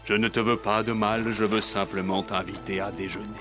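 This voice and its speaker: A man playing a sly, menacing orca in a children's cartoon, smooth-talking and mock-polite with drawn-out words. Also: lowish voice, flat delivery, music in the background, close to an everyday voice. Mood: falsely sweet. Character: sly, menacing male orca predator, falsely kind. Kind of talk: French dubbed children's cartoon acting.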